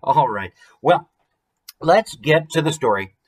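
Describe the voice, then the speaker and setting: A man in his forties with an American accent, hosting a story time in an expressive, conversational way with chatty asides. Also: on the high side, slow, background noise. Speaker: author reading his picture book. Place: small room at home, indoors.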